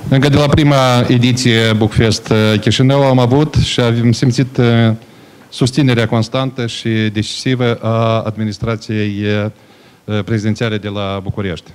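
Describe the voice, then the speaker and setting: A man's voice: medium pitch, steady tone, a little hiss or hum. A man hosting a book fair opening, introducing the next speaker formally and hesitantly, with frequent fillers, speaking at a lectern microphone. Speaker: event organizer and host.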